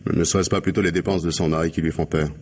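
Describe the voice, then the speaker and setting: A man's voice: low-pitched, little pitch movement, very fast, a little hiss or hum, close to an everyday voice. A man playing an ironic, insinuating police inspector who questions with needling gallantry in a radio mystery drama. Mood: probing. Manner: sardonic, insinuating questioning with polite irony.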